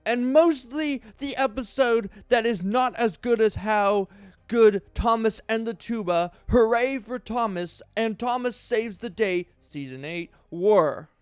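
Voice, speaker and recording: Man doing a high-pitched, melodramatic aggrieved fan reviewer. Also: clear, resonant tone, no echo, soft background music. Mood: disappointed. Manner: melodramatic, halting, heavy emphasis. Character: aggrieved, earnest cartoon fan solemnly reviewing episodes. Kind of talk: mock-dramatic reading of an online review.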